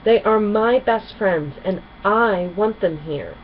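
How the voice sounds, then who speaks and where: A woman's voice: mid-range voice, takes it slowly, phone-quality sound, a little hiss or hum. A woman voicing a kind, sincere young female pony princess in a children's storybook. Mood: pleading surprise.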